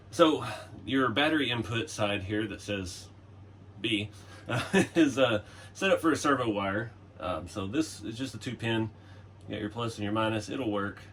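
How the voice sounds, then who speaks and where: Guy in his garage workshop, chill chatty tutorial, kinda hoarse, bit of faint background noise. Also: lowish voice. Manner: laid-back, chatty, frequent "uh" and chuckles. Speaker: RC hobby channel host.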